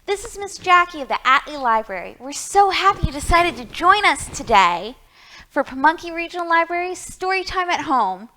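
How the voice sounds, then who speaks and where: A woman's voice: very high-pitched, sing-song, normal speed, pushed and bright, a little hiss or hum, low-quality recording. A woman hosting a library storytime, talking to young children and reading picture books aloud with questions and emphasis.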